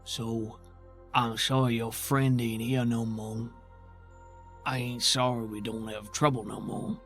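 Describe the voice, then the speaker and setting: Man voicing a slow-talking, weary rustic creature. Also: medium pitch, little pitch movement, a bit bright, faint music behind. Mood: grave, apologetic. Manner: halting, folksy, ungrammatical speech. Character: slow, weary, rustic tentacled male creature, protective and plainspoken. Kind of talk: in-character tabletop roleplay.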